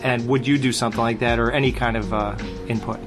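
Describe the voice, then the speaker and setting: A man's voice: medium pitch, fairly even intonation, fast, over music. A man co-hosting an interview podcast, laid-back and joking as he relays fan questions. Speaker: podcast co-host. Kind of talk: casual interview chat.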